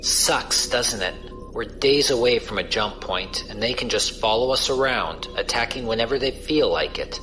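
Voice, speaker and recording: A man's voice: mid-range voice, lively intonation, moderate pace, hardly any pauses, pushed and bright, soft background music. A man playing a casual, wry space pirate crewman who explains things colloquially in a science fiction audio drama. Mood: wry, resigned. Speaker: voice actor.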